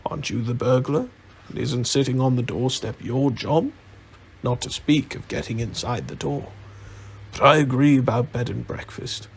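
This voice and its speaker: A man's voice: medium pitch, slight background noise. A man playing a proud, demanding dwarf leader in a storybook reading, haughty and blunt.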